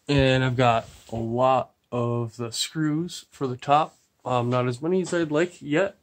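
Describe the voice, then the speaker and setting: A man's voice: medium pitch, darkish tone. A man with a nasal, stuffy voice from a cold, casually rambling through a tour of his cluttered garage workshop on a handheld phone. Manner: rambling, many fillers. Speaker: vlogger. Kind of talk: casual shop tour vlog. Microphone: handheld phone camera.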